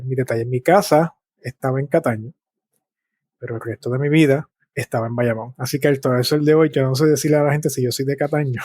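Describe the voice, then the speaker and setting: A man's voice: mid-range voice, a little mellow, husky, dry recording, clean studio sound. A man from Puerto Rico with a Puerto Rican accent, talking reflectively and conversationally as an interview guest. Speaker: interview guest, speaker and artist.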